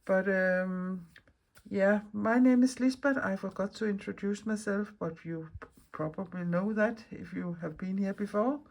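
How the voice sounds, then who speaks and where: A woman from Denmark with a Danish accent, chatting casually and unscripted as a craft vlog host, rambling and searching for words in halting non-native speech. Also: medium pitch, a little mellow. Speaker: mixed media artist and video host.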